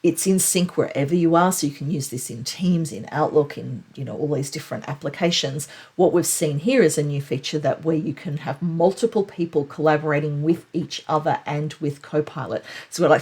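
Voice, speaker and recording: A woman's voice: sits low, a little mellow, breathy edge. A woman presenting a conversational, explanatory recap of tech news, leaning on key points for emphasis.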